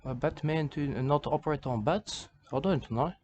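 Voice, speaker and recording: A man's voice: on the high side, moderate pace, a little mellow, highs cut off. A man giving rambling comedic commentary on a video, circling back on the same phrases in broken grammar.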